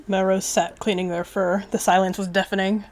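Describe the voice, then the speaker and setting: A woman's voice: medium pitch, level tone, fairly quick, a little mellow. A woman reading a roleplay story aloud from a game, casual, slipping between storybook narration and offhand asides. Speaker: video creator and roleplayer. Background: background music.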